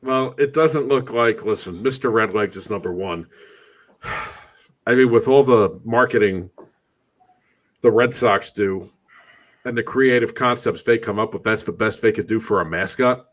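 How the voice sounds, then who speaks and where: A man's voice: fairly low pitch, measured pace, pauses often. Man hosting casual sports banter. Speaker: sports show host.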